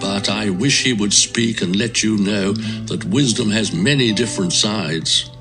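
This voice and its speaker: A man's voice: lowish voice, a little slow, over music, lightly acted. A man acting a stern, self-assured accuser in a dramatised Bible audio production, lecturing with emphatic, oratorical delivery. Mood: stern rebuke.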